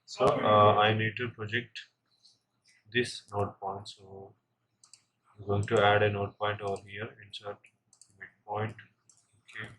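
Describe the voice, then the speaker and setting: A man giving a step-by-step software tutorial in a halting way, often checking in with the listener. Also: lowish voice, little pitch movement. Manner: halting, with frequent check-in tags. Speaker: software instructor. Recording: noisy, with keyboard typing and clicks.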